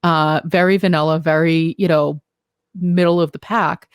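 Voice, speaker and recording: A woman's voice: low-pitched, steady tone, moderate pace, clear, resonant tone, no echo, clean studio sound. A woman co-hosting a podcast in casual conversation, practical and questioning, with a lot of "you know.". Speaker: podcast co-host.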